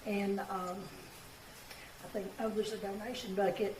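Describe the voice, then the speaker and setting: A raspy-voiced woman, a party chairperson giving plain, folksy closing thanks at an event. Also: medium pitch, level tone, a little slow. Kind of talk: closing remarks at a picnic event. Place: indoor event hall. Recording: echoey room, distant mic, noisy.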